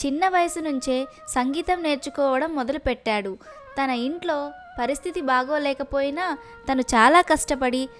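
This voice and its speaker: A woman narrating a scripted children's story. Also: high-pitched.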